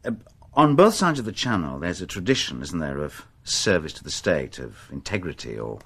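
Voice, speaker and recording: An actor playing a middle-aged police inspector in a radio drama, wry and courteous, with measured, probing questions. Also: male voice, low voice, big pitch swings, fairly bright tone, slightly rough, full character voice.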